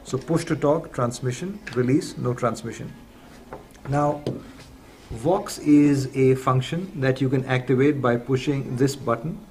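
A man giving a measured, methodical, step-by-step explanation as the presenter of a product tutorial. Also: medium pitch, a little mellow. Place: indoors at a table in a room. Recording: clearly noisy room recording.

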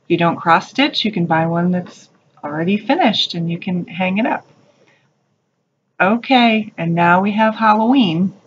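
A woman's voice: fairly low pitch, unhurried, muffled recording, a little hiss or hum. A woman narrating a casual shop-with-me video in a craft store, gushing and chatty about what she finds. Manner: gushing, chatty, calls things cute. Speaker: shopping vlogger. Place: craft store aisles, indoors.

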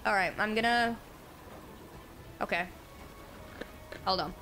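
A woman's voice: medium pitch, slow, pushed and bright, faint music behind. A woman playing a tabletop role-playing game, chatting and asking unsure questions about the rules. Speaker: player. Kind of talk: casual tabletop play.

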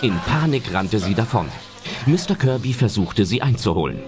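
A man's voice: fairly low pitch, animated, moderate pace, over music. A man narrating a scripted German audio drama as its storyteller.

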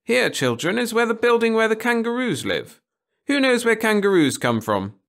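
Guy doing a bossy prim lady teacher for a storybook, high voice, very up and down, scolding. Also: sing-song, normal speed, a bit bright, exaggerated voice. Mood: brisk, instructive. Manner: scolding, primly authoritative. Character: strict, fussy, complacent woman schoolteacher. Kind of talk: storybook audiobook character voice.

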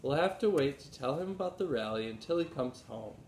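A man's voice: expressive, brisk, a little mellow, lightly acted. A man voicing a responsible, reassuring big sister in a children's storybook. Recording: roomy echo, low rumbling noise.

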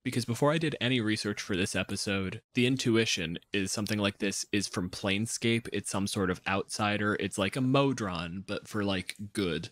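A man's voice: lowish voice, moderate pace, hardly any pauses, fairly bright tone, no echo, clean studio sound. A man chatting casually as a podcast panelist, analytical and opinionated in the way he talks. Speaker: podcast panelist discussing tabletop game monsters. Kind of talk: casual chat.

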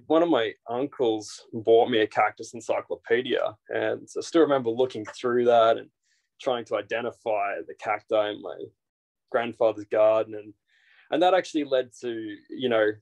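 A man's voice: mid-range voice, normal speed. Aussie guy rambling about his plants on a video call, lots of ums. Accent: Australian. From Australia. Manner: relaxed, rambling with frequent fillers and restarts. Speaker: guest, naturalist guide. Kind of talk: casual interview over video call. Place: small indoor room. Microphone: video call, built-in device mic.

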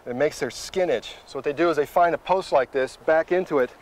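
Man, middle-aged, higher voice, wilderness guide, matter-of-fact teaching, outdoor hiking chat. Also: fast, slight background noise. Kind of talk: explanatory chat while hiking. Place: outdoors in open coastal tundra, on the move.

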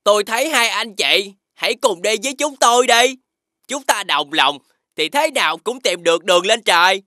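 A woman's voice: mid-range voice, animated, moderate pace, no echo. A woman voicing a friendly, agreeable animal companion with an earnest, encouraging manner in a children's cartoon. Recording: loud music behind the voice.

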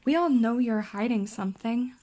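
A playful, teasing teenage schoolgirl acted by a woman in an audio drama. Her voice sits a little high. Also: moderate pace, dark tone, close to an everyday voice.

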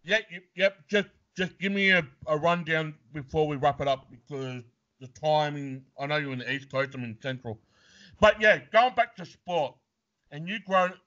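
An Australian man hosts a casual chat, his questions relaxed and full of restarts. His voice sits slightly high. The call line sounds dull. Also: normal speed. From New South Wales, Australia. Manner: relaxed, friendly, stumbling questioner. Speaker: podcast host. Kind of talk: casual video call interview. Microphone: video call.

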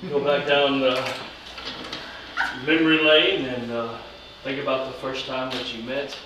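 Guy doing elvis as a wedding officiant, jokey and halting, far from the mic in an echoey room. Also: mid-range voice, little pitch movement. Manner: halting, drawling showman, teasing. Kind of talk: jokey wedding ceremony patter in Elvis impersonation. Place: wedding chapel in Las Vegas. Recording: roomy, distant mic, noisy background.

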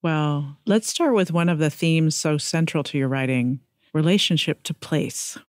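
A podcast host introducing a guest and asking gentle questions, answering with soft affirming murmurs. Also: medium pitch, unhurried, no echo, studio quality. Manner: gentle and affirming, many short murmured replies. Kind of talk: podcast interview.

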